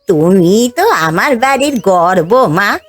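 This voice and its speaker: A woman's voice: mid-range voice, very animated, slow pace. A woman playing a domineering older mother-in-law in a cartoon family tale, imperious and reproachful, partial to her favourites. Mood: doting, proud. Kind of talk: Bengali cartoon fairy-tale voice acting.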